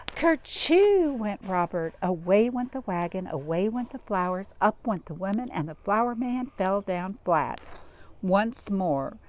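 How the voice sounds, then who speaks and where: Animated woman reading to young children. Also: medium pitch, a little hiss or hum. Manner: expressive, with dramatic gasps and questions to listeners. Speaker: storytime reader. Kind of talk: animated picture-book read-aloud for young children.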